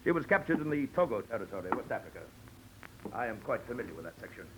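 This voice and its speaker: An actor playing a pompous, suave big-game hunter villain with florid, mock-courteous speech, in 1940s radio drama style. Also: male voice, mid-range voice, sing-song, fast, natural voice. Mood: insistent. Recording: noisy old 1940s radio recording.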